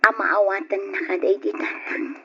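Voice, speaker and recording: A woman playing a gentle mother who soothes her son, in a radio drama. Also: medium pitch, level tone, moderate pace, gravelly, a little hiss or hum. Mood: tender. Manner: soft and soothing. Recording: dull lo-fi audio.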